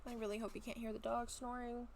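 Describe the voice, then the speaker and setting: A woman chatting casually and reading her own story aloud at home, a little raspy from a long talking session, rambling with many soft ums. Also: on the high side, flat delivery, fast, a little hiss or hum. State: slightly raspy throat. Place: at home, bedroom.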